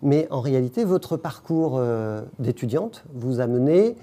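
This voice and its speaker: A man interviewing a guest, asking calm, probing questions about her background. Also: medium pitch, measured pace.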